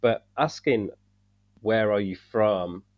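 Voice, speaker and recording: A man from the UK, a podcast guest, talking in an earnest, measured way and searching for words at times. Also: on the low side, dry recording. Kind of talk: casual discussion. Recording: dull dry sound.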